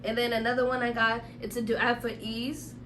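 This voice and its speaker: A woman's voice: a little high, little pitch movement, fairly quick, bright, forceful tone. A woman podcast co-host in casual, joking chat, a little sick with a tickle in her throat. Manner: laid-back, joking, slangy. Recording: distant mic, faint hum.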